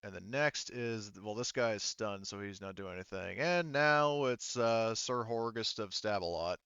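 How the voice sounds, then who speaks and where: A man with a fairly low, bright voice runs a tabletop roleplaying session as game master. He talks informally and works things out aloud, in a very dry recording. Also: moderate pace, clean tone, no room sound, lo-fi sound. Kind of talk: running a tabletop roleplaying game, casual and improvised.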